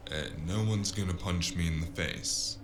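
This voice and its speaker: A man's voice: sits low, fairly even intonation, unhurried, crowd noise. A man playing a flippant, rambling, sardonic private investigator who narrates a cyberpunk noir audio drama. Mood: wary, flippant. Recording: music behind the voice.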